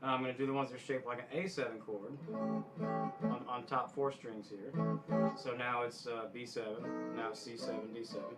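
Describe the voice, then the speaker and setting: A man giving casual guitar instruction in a halting way, often backtracking and clearing his throat. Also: mid-range voice, little pitch movement, poor audio. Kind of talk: casual instructional talk to camera.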